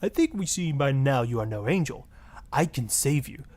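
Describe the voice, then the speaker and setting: A man's voice: medium pitch, expressive, normal speed, a little mellow, natural voice. Guy doing a smarmy latin lover guru, spanish accent, grand and flowery, parody game radio. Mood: seductive, preachy. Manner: florid, seductive, pompous. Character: suave, sleazy Latin male marriage guru, grandly passionate. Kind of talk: satirical video game radio acting.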